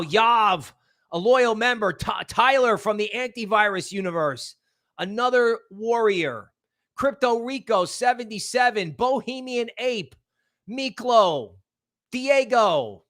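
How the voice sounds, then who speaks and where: A male livestream host with a fairly high voice for a man. He chats casually and rambles into asides. He leans hard on key words, often repeating them for stress. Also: pushed and bright. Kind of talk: casual livestream chat with viewer shout-outs and promotion.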